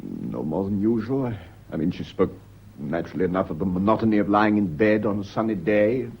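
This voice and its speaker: An actor playing an indignant, evasive British gentleman under suspicion in a radio mystery play, genteel and hesitant in his speech. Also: fairly low pitch, lively intonation, brisk, vocal fry, slightly rough, exaggerated voice. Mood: uneasy, hesitant. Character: indignant, evasive gentleman husband suspected of poisoning his wife. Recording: dull radio drama recording, audible noise.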